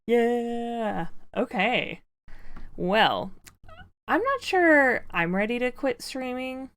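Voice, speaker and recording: Brief: young woman host, loud and chatty, very lively pitch. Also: extremely slow, no echo. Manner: loud, laughs a lot, chatty. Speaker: streamer host. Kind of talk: casual chat with friends on a game stream.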